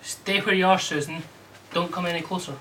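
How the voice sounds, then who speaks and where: An actor playing a stern, accusing man who speaks with cold, deliberate command in an old radio drama. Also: high voice, steady tone, bright, forceful tone. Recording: very noisy old radio recording.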